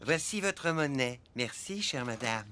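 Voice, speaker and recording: A man's voice: mid-range voice, lively intonation, a little slow, background noise, close to an everyday voice. A man voicing a fussy, mannered shopkeeper in a children's cartoon. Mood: obsequious. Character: fussy, courteous male shopkeeper, easily exasperated.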